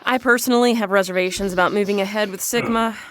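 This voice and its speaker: An actress playing a formal alien research lead, dictating a clinical, deliberate log in an audio drama. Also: female voice, medium pitch, steady tone, background noise, close to an everyday voice. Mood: clinical, measured.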